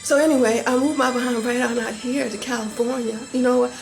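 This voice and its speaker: Southern gal vlogging, quick rambly chat, kinda high voice w/ gravel, music going behind her. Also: fairly even intonation, a little mellow, poor audio. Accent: Southern US, Georgia. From born in Maryland, raised in Georgia. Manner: rambling, restarts often, chatty and frank. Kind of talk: casual chat to camera. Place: visiting a home in California. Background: music playing in the background.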